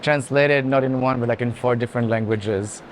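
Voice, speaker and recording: Man explaining art haltingly, noisy venue. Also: mid-range voice, steady tone. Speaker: art gallery director in conversation. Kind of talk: unscripted art tour commentary. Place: indoor art fair hall. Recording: clear background noise of busy venue.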